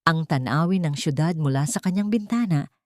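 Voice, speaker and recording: Low-voiced woman, expressive storyteller. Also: brisk, no room sound, studio quality. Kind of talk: scripted dramatic narration.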